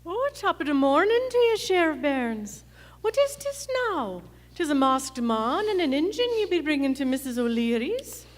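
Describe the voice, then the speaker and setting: A woman's voice: high-pitched, sing-song, moderate pace, slight background noise. A woman playing a cheery, sassy Irish cafe owner with an Irish accent in a radio western. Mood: cheery, teasing. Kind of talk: old-time radio western acting. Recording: echoey live theatre hall.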